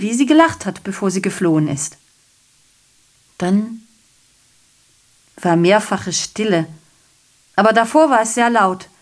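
An actress playing a garrulous, self-pitying messenger in a German radio play, giving a digressive, theatrical monologue that keeps correcting itself. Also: female voice, medium pitch. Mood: agitated, insistent. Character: garrulous, self-pitying messenger reporting a night of revelry and shootings.

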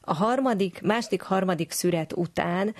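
A woman speaking as an expert guest in an interview, explaining things in detail with frequent hesitations. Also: on the low side.

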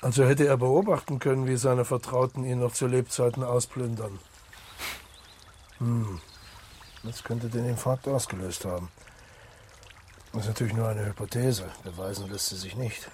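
A man's voice: mid-range voice, steady tone, moderate pace, long pauses, background noise, lightly acted. A man playing a patient, matter-of-fact Italian police marshal questioning a witness in a German radio crime play. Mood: probing. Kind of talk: naturalistic German radio crime drama acting.

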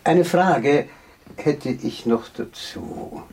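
An actor playing a driven, insistent amateur detective in a period Viennese radio drama. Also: male voice, fairly high pitch, extremely slow, creaky, a little mellow, slight background noise, full character voice. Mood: probing. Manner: insistent, probing, interrupting.

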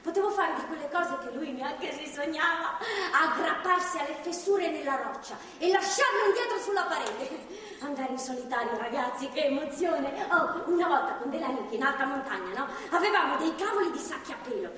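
A woman's voice: high-pitched, a little fast, hardly any pauses. An actress playing a defiant, tipsy, bitter captive younger woman in a stage drama, impulsive and sardonic in manner. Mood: exhilarated, reminiscing. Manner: impulsive, sardonic, emotionally expressive. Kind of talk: theatrical stage drama acting. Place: theatre stage, far microphone. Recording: echoey theatre hall, distant, faint noise.

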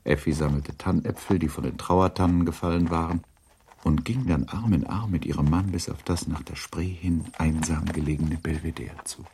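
A man's voice: extremely low pitch, normal speed, creaky, a little mellow, slight background noise. A man narrating a literary radio drama in a composed, scripted storytelling style.

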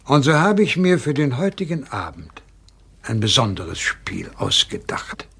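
An actor playing an older retired English colonel who hosts with deliberate, theatrical delivery in a radio drama. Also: male voice, mid-range voice, huge pitch swings, creaky, fairly bright tone, breathy edge, heavily acted. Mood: deliberate, mysterious.

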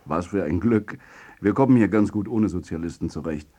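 An actor playing a coolly superior football club boss in radio drama dialogue. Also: male voice, low-pitched, a little fast, warm tone. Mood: amused.

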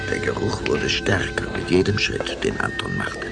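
A man's voice: extremely low pitch, very animated, moderate pace, gravelly, loud music behind the voice. A man narrating a children's radio play as a theatrical, suspenseful storyteller. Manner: suspenseful, theatrical, shifting to whispers.

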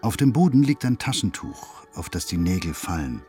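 An actor playing a detached, meticulous narrator in a radio drama, sober and matter-of-fact. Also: male voice, sits low, big pitch swings, normal speed, dark tone, faint music behind. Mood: detached, precise.

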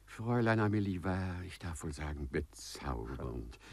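An actor in a 1950s radio play playing a courtly, lovestruck gentleman with flowery, halting, theatrical speech and a breathy, husky voice. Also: low voice, sing-song, full character voice. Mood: smitten, rapturous. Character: courtly, lovestruck gentleman who walks through walls, flowery and excitable.

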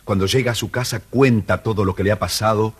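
A man with an Argentine Spanish accent narrating a children's story calmly on an old audio record. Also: fairly low pitch, quick pace, husky. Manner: calm, even storytelling.

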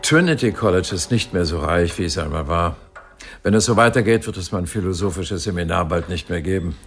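An actor playing an erudite philosophy professor in a radio drama, donnish, deliberate and wryly amused. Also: male voice, low-pitched, animated, soft background music. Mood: wry, resigned. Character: erudite, fascinated male Cambridge philosophy professor.

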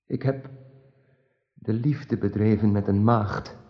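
An actor in a radio drama playing an anguished, devout knight burdened by guilt, speaking in an earnest, fervent and formal way. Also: male voice, fairly low pitch, steady tone, slow pace, lo-fi sound. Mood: ashamed.

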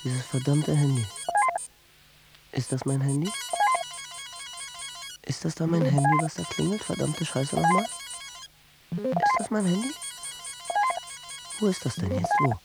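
Lost business traveller freaking out, swearing to himself, radio drama, loud music under him. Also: male voice, fairly high pitch, lively intonation, unhurried, vocal fry, pauses often, poor audio. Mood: agitated, confused. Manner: agitated, cursing, muttering to himself. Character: frantic, disoriented male business traveller losing his grip.

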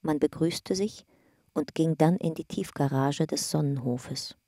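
A woman's voice: deep voice, measured pace, mellow, no echo, clean studio sound. A woman narrating an investigation file in a factual, detached, scripted radio drama style.

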